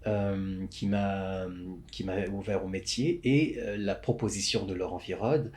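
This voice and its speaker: A man speaks in a somewhat low voice. He is a podcast guest talking through his career, thoughtful and halting, often backing up to restart a phrase. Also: fairly even intonation, a little mellow. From Canada, Montreal. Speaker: podcast guest, script supervisor. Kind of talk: interview conversation.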